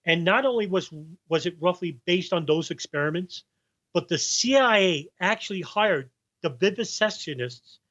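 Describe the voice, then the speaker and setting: A man's voice: high voice, expressive, normal speed, fairly bright tone, muffled recording. A man with a New York accent, a guest researcher in a podcast interview, talking at length and stopping to recall names. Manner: rambling, restarts while recalling names.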